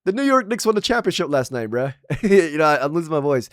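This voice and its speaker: A man's voice: on the high side, sing-song, fast talker, no echo, clean studio sound. A young man from Maryland giving blunt, slangy political commentary while losing his voice. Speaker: commentary channel host.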